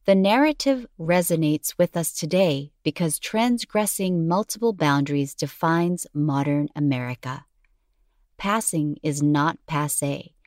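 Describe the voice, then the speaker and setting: A woman reading scripted documentary narration as a podcast narrator, in a measured and formal delivery. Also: sits low, darkish tone, no echo, studio quality.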